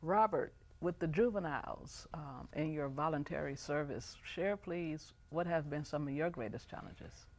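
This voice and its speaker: A woman's voice: sits low, moderate pace, hardly any pauses, a little mellow. Woman hosting a panel interview. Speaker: host. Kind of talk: panel interview, posing questions.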